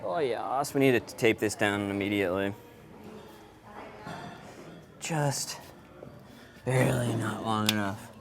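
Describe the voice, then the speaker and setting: A man's voice: on the low side, slow pace, vocal fry, long pauses. A man working as a live sound engineer, giving casual running commentary as he works, muttering to himself and exclaiming. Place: event venue during setup. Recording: noisy on-location vlog audio with clatter.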